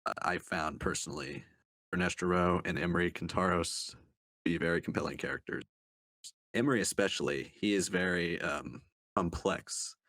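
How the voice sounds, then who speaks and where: A man giving a casual book review as a panelist, speaking in a hesitant, halting way with trailing sentences. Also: low voice, a bit bright, no room sound. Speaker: podcast panelist reviewing books.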